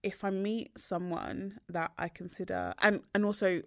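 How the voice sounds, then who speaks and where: A woman's voice: medium pitch, a little slow, dry recording. Candid young British woman, dry mic. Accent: British English. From Nigeria heritage, raised in Britain. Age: young adult. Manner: candid, animated storytelling. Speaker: podcast co-host. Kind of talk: casual podcast chat.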